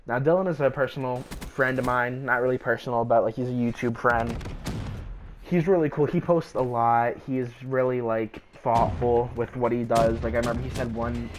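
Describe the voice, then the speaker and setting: A young man with a sore, scratchy throat, rambling casually with lots of fillers while he plays a game. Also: mid-range voice. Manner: rambling, hesitant, filler-heavy. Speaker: gaming YouTuber. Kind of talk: casual gameplay commentary.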